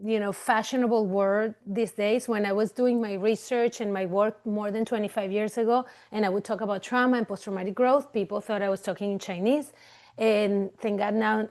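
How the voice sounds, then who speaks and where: A woman's voice: mid-range voice, steady tone, a little fast, darkish tone. A woman from Venezuela, a clinical psychologist, giving a webinar talk over a video call in a loose, conversational way full of fillers and restarts. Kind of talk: webinar presentation.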